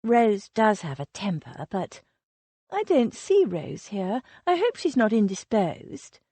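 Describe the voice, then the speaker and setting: A gentle, sincere young noblewoman speaking softly, as a woman narrator voices her, lively in pitch. Dry recording. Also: takes it slowly, husky, dry recording, exaggerated voice. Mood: polite.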